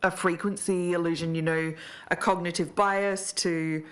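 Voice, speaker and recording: A woman playing a measured, sceptical co-host of an investigative podcast. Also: lowish voice, steady tone, close to an everyday voice. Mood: cautious, sceptical.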